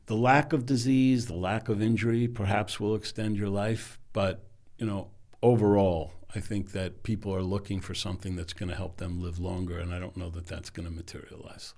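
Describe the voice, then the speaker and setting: A man who runs a company, a podcast guest who talks in a measured, thoughtful way, often trailing off softly. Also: sits low, steady tone. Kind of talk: casual interview conversation.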